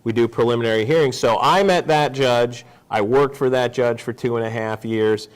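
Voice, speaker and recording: A man's voice: medium pitch, moderate pace. A man in his forties speaking as a guest on a casual talk show, telling stories in a joking way. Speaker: guest, district judge.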